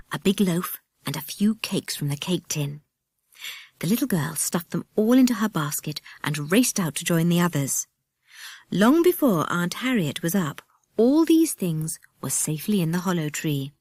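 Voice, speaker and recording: Lively lower-pitched British woman reading a story. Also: no room sound. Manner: expressive, animated storytelling. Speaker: audiobook narrator. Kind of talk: scripted children's storybook narration.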